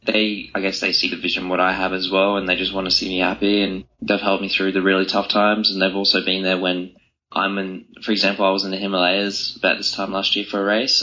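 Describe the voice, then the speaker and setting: Low, bright, husky young man. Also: lo-fi sound. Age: young adult. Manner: earnest, halting, self-correcting. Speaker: interview guest, author. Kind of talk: casual, conversational interview.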